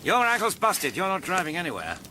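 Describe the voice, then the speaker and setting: A man's voice: high voice, huge pitch swings, fairly quick. A man playing a cheery, dashing adventurer in a tongue-in-cheek period radio drama, jaunty and commanding in manner. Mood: firm, calm.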